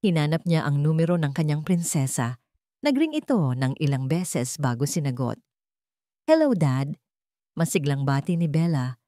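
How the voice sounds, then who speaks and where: A woman narrates a dramatic scripted story in a low, mellow voice. The recording is dry and close, with little sense of a room. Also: lively intonation, normal speed, dry recording.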